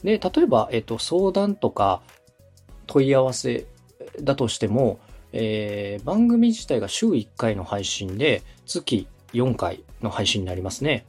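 A man's voice: medium pitch, big pitch swings, unhurried, a little mellow, breathy edge, faint music behind. A man from Osaka giving polite, methodical advice as a podcast host and radio director. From Osaka, Japan. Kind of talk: explanatory consulting talk.

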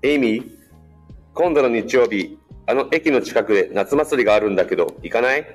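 Man acting a mild, mid-pitched young man. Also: expressive, a little fast, faint music behind, lightly acted. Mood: friendly, gentle. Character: Joe, mild friendly young man asking a date out. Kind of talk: bilingual radio drama acting.

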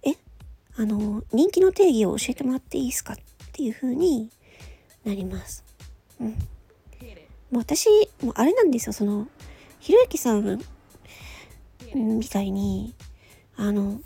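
A woman's voice: fairly high pitch, gravelly, warm tone, husky, dry recording. A woman host chatting casually on her own, with a questioning, analytical way of talking. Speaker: host of a solo audio show, amateur voice actor. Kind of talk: casual solo chat.

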